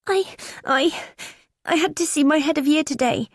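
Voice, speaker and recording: A woman's voice: moderate pace, a little mellow, dry recording, studio quality. A woman voicing a distressed teenage girl who is tearful and pleading. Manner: tearful, pleading, high and strained.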